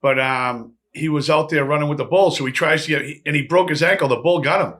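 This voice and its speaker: A man's voice: a little high, fast talker, a bit bright. A man in his fifties chatting casually as a podcast co-host, telling stories in a blunt, streetwise way. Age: 50s. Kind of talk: casual chat, storytelling.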